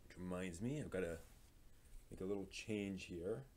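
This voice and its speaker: A man hosting a live stream, reading entertainment news in an informal, somewhat halting way with casual asides. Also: low voice, darkish tone. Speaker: live stream host presenting anime news.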